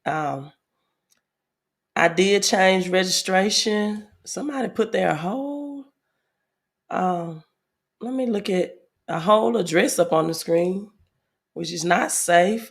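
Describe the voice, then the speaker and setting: A woman hosting a casual livestream, a little hoarse from a head cold, reading viewer comments aloud with many fillers. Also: fairly low pitch, unhurried. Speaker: livestream host.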